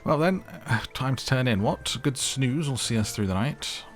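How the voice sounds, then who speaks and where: A man's voice: medium pitch, expressive, normal speed, voice pushed up, natural voice. Man putting on a snobbish, posh woodland animal gent. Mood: sleepy, jaunty. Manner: affected posh, slipping into plainer speech.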